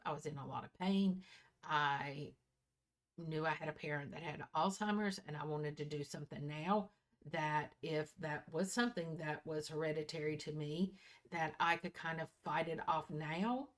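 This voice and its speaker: Lower-voiced woman in her sixties chatting. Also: moderate pace. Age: early 60s. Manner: folksy, candid, repeats and corrects herself. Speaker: vlogger. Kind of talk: casual personal chat to viewers.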